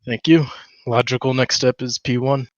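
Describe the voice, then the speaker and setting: Male driver, mid pitch, dry close sound, short calm replies. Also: moderate pace, no echo, highs cut off. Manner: brief, easygoing answers. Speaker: race driver, second place. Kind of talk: post-race interview.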